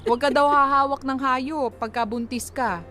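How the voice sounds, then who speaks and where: A woman's voice: high voice, steady tone, normal speed, sharp tone. A woman with a Filipino accent, speaking as a radio host on a story and letter show. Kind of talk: letter narration and casual radio banter. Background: music behind the voice.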